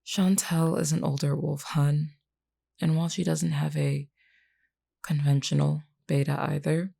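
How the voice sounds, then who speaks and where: Woman playing a slow, husky, flirty wounded werewolf. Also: low voice, flat delivery, mellow, full character voice. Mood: weary, candid. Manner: teasing, sighing, calls listener hun. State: in pain, weary from injury. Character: flirty, wounded female werewolf, teasing and weary. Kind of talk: intimate audio roleplay to the listener.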